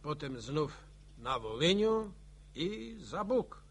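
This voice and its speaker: An actor playing a plain-spoken, steady middle-aged village war veteran with a Polish accent in a radio drama. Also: male voice, a little high, takes it slowly, breathy edge, heavily acted. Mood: reminiscing.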